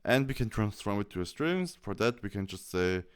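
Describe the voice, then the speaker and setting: A man presenting a software tutorial, explaining things step by step and often correcting himself as he goes. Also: on the low side, sing-song, normal speed, clear, resonant tone.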